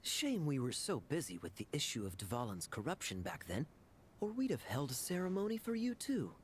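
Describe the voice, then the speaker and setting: Sly, lively-pitched knight captain. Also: male voice, moderate pace, slight background noise. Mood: amused, courteous. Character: suave, teasing male knight captain. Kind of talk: video game voice acting.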